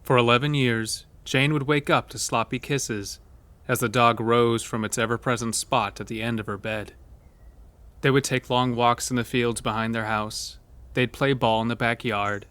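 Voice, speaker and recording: A man's voice: mid-range voice, fairly even intonation, moderate pace, a bit bright, no room sound. Man narrating a scripted horror story.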